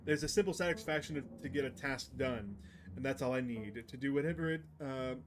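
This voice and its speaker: A man's voice: medium pitch, fairly quick. Male let's-player, mid-range voice, chatty, reads game text to viewers, slight noise on the recording. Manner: chatty, addresses stream chat. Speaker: video game streamer. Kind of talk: live let's-play commentary mixed with reading game text aloud.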